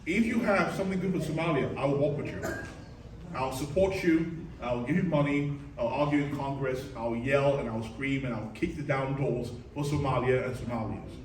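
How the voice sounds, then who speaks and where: A man's voice: mid-range voice, normal speed. A man giving a rousing political speech, emphatic and exhortative, repeating his phrases for effect. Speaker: political speaker at a community reception. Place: reverberant reception room, speaking to a gathering. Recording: echoey, distant hall recording with background noise.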